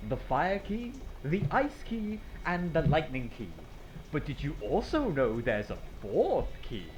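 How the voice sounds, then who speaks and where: An actor voicing a small, bossy podcast host in an audio drama. The delivery is emphatic and mock-grandiose, with big swings in pitch and an exasperated, teasing edge, over noisy ambience. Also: big pitch swings, measured pace. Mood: showy. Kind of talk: audio drama podcast banter, theatrical announcing.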